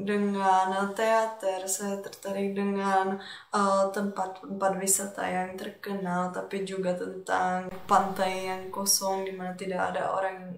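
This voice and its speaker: A woman's voice: medium pitch, slight background noise. A Czech woman with a Czech accent talking casually to viewers as a vlogger, often hesitating as she searches for words in a language that is not her native one. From Czech Republic. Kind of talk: casual personal talk to viewers. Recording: echoey, roomy sound.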